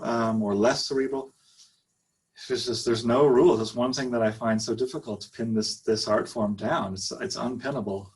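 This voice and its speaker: Husky-voiced hesitant man poet. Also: mid-range voice, darkish tone, rough recording. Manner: hesitant, many ums. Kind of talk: answering audience questions at a poetry reading.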